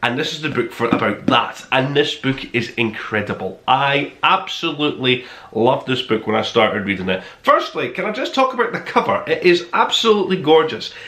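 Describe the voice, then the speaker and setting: A man with a bright, forward voice and a middling pitch talks in a roomy, echoing indoor space. He presents a casual book review as the host, enthusiastic and effusive. His delivery is conversational and rambles along as if chatting straight to the viewer. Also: lively intonation, moderate pace. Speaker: podcast host reviewing books. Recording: roomy, echoing room sound.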